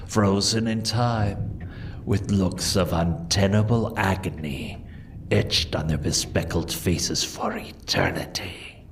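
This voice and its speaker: A man's voice: lowish voice, a little hiss or hum. A man playing the Red Death, a merciless masked spirit of plague, speaking in solemn, ominous pronouncements in a gothic audio drama. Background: dramatic music.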